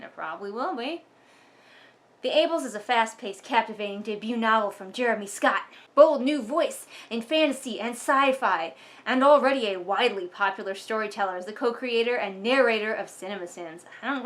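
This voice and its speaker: A woman's voice: mid-range voice, a bit bright. A woman hosting a book vlog, chatting casually to viewers and reading aloud with wry, sardonic asides. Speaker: book commentary vlogger and host.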